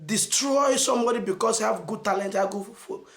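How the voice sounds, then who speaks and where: A Nigerian man giving an emphatic motivational message as a channel host, asking rhetorical questions and stressing phrases slowly. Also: high voice, reverberant. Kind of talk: motivational preaching to camera.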